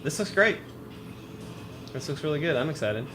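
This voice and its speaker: A man hosting a podcast in casual, informal chat, talking like an excitable fan. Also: on the high side, normal speed, over music. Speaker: podcast host. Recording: clearly noisy room recording.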